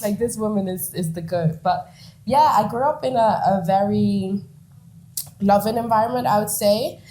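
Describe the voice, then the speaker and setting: A woman in her twenties from the Netherlands, telling her story as a guest in an interview, animated and quick to laugh. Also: medium pitch. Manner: animated, laughs often, acts out quoted lines.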